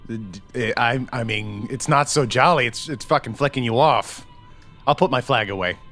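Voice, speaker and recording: A man's voice: medium pitch, moderate pace, a bit bright, soft background music. A man playing a tabletop roleplaying game, casually improvising his character's actions in a joking way.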